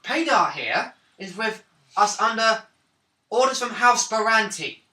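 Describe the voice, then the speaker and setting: Bright, higher-voiced man at a gaming table. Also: lively intonation, unhurried. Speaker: player in a tabletop role-playing game. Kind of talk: casual in-character table talk. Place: indoor room around a gaming table. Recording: echoey room, distant mic, faint noise.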